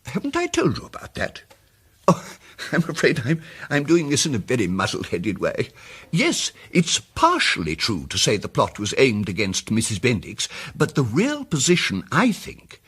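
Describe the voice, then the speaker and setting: An actor playing a mild, muddled amateur detective in a radio drama, speaking with hesitant, fussy precision and old-fashioned politeness. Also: male voice, medium pitch, wildly swooping pitch, moderate pace, fairly bright tone, breathy edge, heavily acted. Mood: flustered, earnest. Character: mild, muddled, apologetic male amateur detective explaining his deductions.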